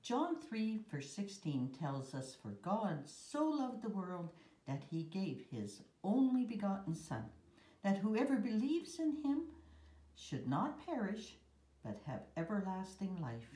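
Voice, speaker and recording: A woman in her seventies reading a children's picture book and scripture aloud, carefully and in measured short phrases. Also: medium pitch, big pitch swings, dark tone. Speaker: guest story reader. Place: room at home. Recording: roomy echo.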